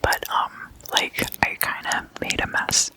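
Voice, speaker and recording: Slow, breathy ASMR whisperer. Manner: slow, hushed, casual and conversational. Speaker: host of an ASMR roleplay series. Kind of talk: whispered ASMR, chatty sleepover roleplay.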